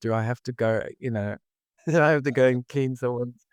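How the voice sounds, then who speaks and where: A man's voice: medium pitch, fairly even intonation, moderate pace, smooth, no room sound. A South African man with a South African accent, talking reflectively as a podcast guest and often searching for his words. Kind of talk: reflective conversational interview.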